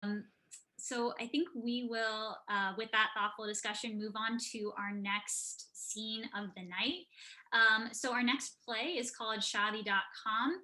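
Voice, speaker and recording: A woman's voice: on the high side, steady tone, unhurried, bright, forceful tone, low-quality recording. A young woman hosting an evening of staged readings on a video call, introducing the next scene informally. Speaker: host of a staged reading night.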